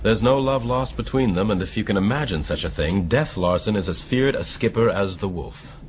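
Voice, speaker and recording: Guy playing a proper educated ship's mate, lowish voice, formal, radio drama with faint ship noise. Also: expressive, natural voice. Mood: composed. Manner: formal, measured. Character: educated, polite gentleman serving as ship's mate.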